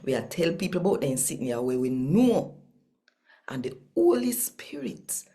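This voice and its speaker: A woman with a Jamaican accent hosting and reading scripture in an expressive, deliberate storytelling manner. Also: low-pitched. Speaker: host and scripture reader.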